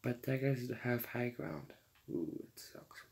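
A man's voice: medium pitch, little pitch movement, slow, creaky, a little mellow. Teenage boy chatting over gameplay. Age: teens. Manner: chatty, laughs often, apologetic. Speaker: gamer streamer. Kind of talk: casual gameplay commentary.

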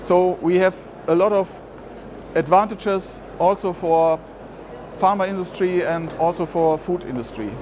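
Fiftyish man, high voice, explains a product in stilted English; trade hall noise clearly behind. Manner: explanatory, slightly halting non-native English. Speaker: product expert interviewed at trade fair. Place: large indoor trade fair hall. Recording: noisy trade fair hall.

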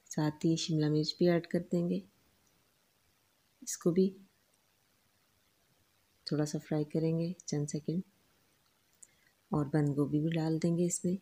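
A woman explains a recipe step by step in a calm voice-over, listing each ingredient and its amount. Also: low voice, slow, pauses often, husky. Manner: calm, instructive, lists ingredients and quantities. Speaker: cooking channel host.

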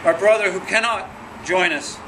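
A man's voice: high voice, background noise. A man leading an earnest spoken prayer at a nighttime outdoor vigil in a gazebo, recorded by a distant camera. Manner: earnest, measured praying.

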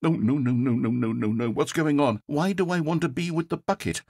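A man's voice: fairly high pitch, brisk, a little mellow. A man voicing a sarcastic, theatrical narrator in a video game, swinging between dry wit and grand rapture. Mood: flustered. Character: wry, theatrical male narrator of a game, adult.

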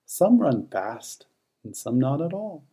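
A man reading a rhyming children's picture book aloud in an expressive, measured storytelling manner. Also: fairly high pitch, slightly rough.

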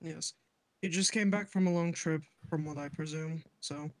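A man playing a calm, matter-of-fact senior guild member in a fantasy game roleplay. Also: on the high side, normal speed, close to an everyday voice.

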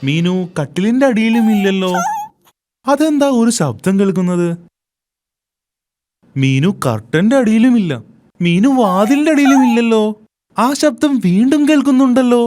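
Expressive woman narrating a kids' cartoon. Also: on the high side, moderate pace, long pauses. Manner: expressive, sing-song storytelling. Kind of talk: scripted storybook narration for kids.

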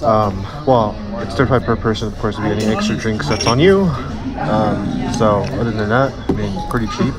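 A man's voice: fairly low pitch, fairly even intonation, a little fast, over loud music. A man casually narrating a dinner vlog, chatting to his viewers and friends at the table. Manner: chatty, addresses viewers as guys. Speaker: vlogger. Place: busy restaurant at a dinner table. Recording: noisy crowded restaurant. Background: loud crowd chatter and clinking cutlery.

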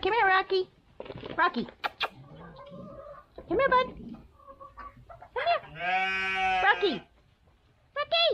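A woman's voice: very animated, takes it slowly, long pauses, muffled recording, noisy background. Sing-song woman cooing at animals. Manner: coos and calls animals in a high sing-song voice. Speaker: vlogger feeding farm animals. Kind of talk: casual chat to animals. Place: wooden barn pen with animals.

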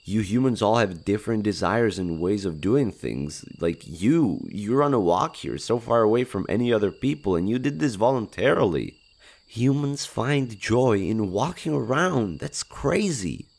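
Male actor as gentle shy monster, low voice, animated intonation, hesitant, innocent, intimate roleplay. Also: creaky. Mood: earnest, gentle. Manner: hesitant, halting, innocent wonder. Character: shy, gentle male skinwalker monster, curious about humans. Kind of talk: intimate audio roleplay, one-sided dialogue.

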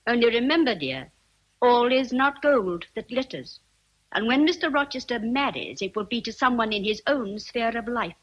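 A woman's voice: on the high side, animated, normal speed, low-quality recording. An actress playing a chatty, motherly housekeeper in a radio drama, savoring her words with emphasis. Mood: cautioning. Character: gossipy, motherly housekeeper, respectful of rank. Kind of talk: vintage radio drama acting.

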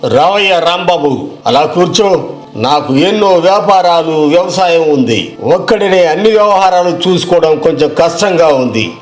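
A man playing a wealthy, cautious village businessman in a moral story, deliberate and authoritative in manner. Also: a little high, close to an everyday voice.

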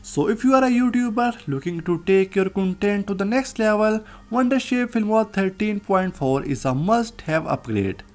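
A person narrating a scripted software tutorial as its host. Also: mid-range voice, animated, normal speed, few pauses, soft background music. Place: voice-over, recorded off screen.

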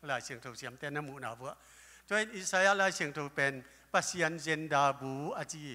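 An older man preaching a sermon at a lectern with a handheld microphone, breaking into loud exclamations now and then. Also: on the high side, brisk. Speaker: pastor. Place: church hall stage. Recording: live church hall with long reverb.

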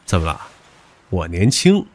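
Man acting a brash, husky-edged young suitor, wildly animated. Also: low-pitched, measured pace, heavily acted. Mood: taunting, demanding. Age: young adult. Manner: cocky, crude, pushy. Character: stubborn, brash, possessive young male suitor. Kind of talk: modern audio drama acting.

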